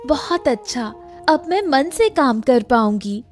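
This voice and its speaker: A determined young woman of the village in an animated moral tale, voiced by a woman. Her voice is a little high and swings expressively, with faint music behind. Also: unhurried. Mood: eager. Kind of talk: Hindi animated moral story dialogue.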